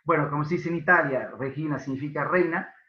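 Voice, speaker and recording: A man's voice: a little high, quick pace, sharp tone, echoey room, lo-fi sound. A man hosting an online interview, chatty and effusive, with fillers and restarts, speaking over a video call. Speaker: host.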